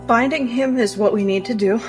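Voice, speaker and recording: A direct, urgent adventurer played by a woman in a tabletop fantasy game. Her voice sits a little high and her delivery is blunt and questioning. Soft music runs behind it. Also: a little fast, lightly acted. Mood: resolute. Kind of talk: tabletop roleplay, casual in-character talk.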